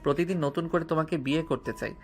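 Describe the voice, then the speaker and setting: A man's voice: a little high, fairly even intonation, quick pace, close to an everyday voice. A male voice actor playing a playful, devoted young husband in an audio romance drama. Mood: tender. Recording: music bed underneath.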